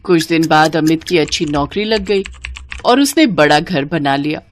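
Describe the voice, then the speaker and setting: A woman narrating a scripted moral story as an expressive storyteller. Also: low-pitched, moderate pace, slight background noise.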